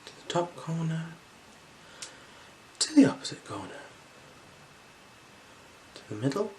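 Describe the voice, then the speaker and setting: A gentle, unhurried ASMR performer speaking softly and slipping into whispers while role-playing an eye examiner. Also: medium pitch, poor audio. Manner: gentle, unhurried, soothing instructions. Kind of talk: ASMR role-play, soft-spoken with whispers. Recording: clear background noise.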